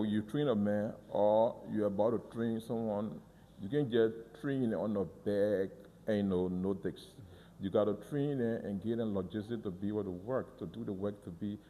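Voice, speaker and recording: A man guiding a museum tour, earnest and halting, often repeating and restarting words. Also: fairly low pitch, dark tone, in a large hall. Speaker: museum guide. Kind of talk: guided tour interview.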